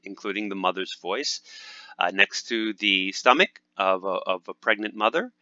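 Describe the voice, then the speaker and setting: A man hosts a language lesson with a bright, sharp edge to his lower voice. His upbeat talk is full of fillers and restarts. The sound is dry and a little dull, like a modest home mic. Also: no echo. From United States. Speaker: language teacher and channel host. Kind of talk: casual instructional talk over gameplay.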